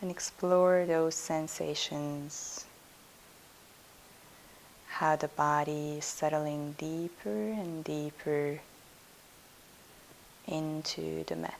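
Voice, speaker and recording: A woman leading a yoga nidra guided meditation as instructor, soothing and unhurried, leaving pauses between her instructions. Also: low-pitched, fairly even intonation, creaky, slight background noise, rough recording.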